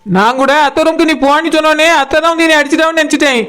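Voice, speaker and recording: Woman as a high-pitched, nagging Kanyakumari cartoon villager. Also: rapid-fire, fairly bright tone. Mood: indignant, accusing. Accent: Kanyakumari Tamil. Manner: accusing, insistent, name-calling. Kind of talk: animated village comedy dialogue.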